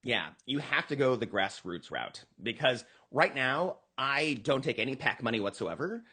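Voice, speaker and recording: An American man speaking as an interviewed political candidate, earnestly explaining his campaign pitch in a conversational, emphatic way. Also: sing-song, normal speed, pushed and bright, breathy edge.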